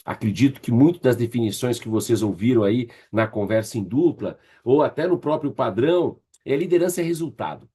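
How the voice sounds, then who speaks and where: A man's voice: mid-range voice, a little fast, few pauses, dark tone, breathy edge. A man with a Brazilian Portuguese accent giving a conversational leadership lecture, addressing his group directly and checking in with short tag questions in a didactic way. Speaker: leadership trainer.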